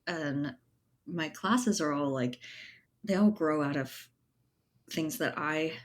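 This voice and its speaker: A woman's voice: sits low, takes it slowly. A woman speaking as an interview guest in an informal, thoughtful way, often checking in with little tag questions. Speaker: interview guest, writer and editor. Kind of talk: casual conversational interview talk.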